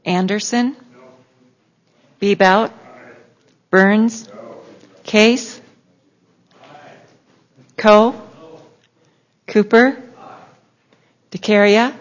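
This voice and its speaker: A woman clerk calling the roll in a legislature, reading names one by one in a crisp, even way. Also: medium pitch. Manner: crisp, repetitive name reading. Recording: dull lo-fi chamber audio.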